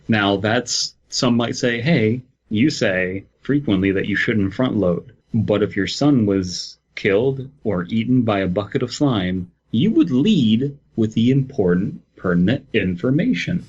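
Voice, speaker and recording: A man's voice: fairly low pitch, animated, measured pace, few pauses, a little mellow, slightly rough, highs cut off. A man hosting a comedy podcast, reading stories and joking in a dry, mock-formal way. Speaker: podcast host. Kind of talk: casual comedic chat and story reading.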